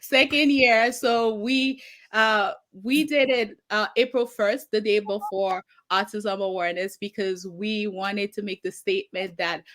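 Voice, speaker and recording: A middle-aged woman with a Haitian accent talks with earnest passion, circling back to repeat her point. Her pitch sits in a typical middle range for a woman. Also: fairly even intonation, unhurried, a bit bright. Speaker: community advocate, guest. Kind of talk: casual conversation.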